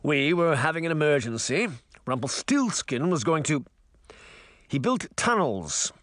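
A smooth-talking small-town mayor answers with strained politeness, voiced by a male audiobook narrator. His pitch moves freely, and the sound is dry and close. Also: very animated, unhurried, fairly bright tone, no room sound. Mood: defensive. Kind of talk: audiobook character voice.

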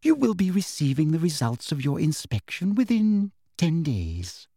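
A man voicing a prim middle-aged witch inspector who sounds falsely sweet and softly threatening. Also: fairly high pitch, very animated, moderate pace, dry recording, studio quality, voice pushed up. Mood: sugary, coolly polite. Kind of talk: audiobook character voice.

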